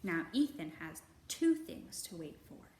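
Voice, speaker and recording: Woman reading a children's storytime, roomy echo. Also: fairly low pitch. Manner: slow, deliberate, expressive phrasing. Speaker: children's librarian hosting storytime. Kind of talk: picture book read-aloud for young children. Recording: roomy echo, faint background noise.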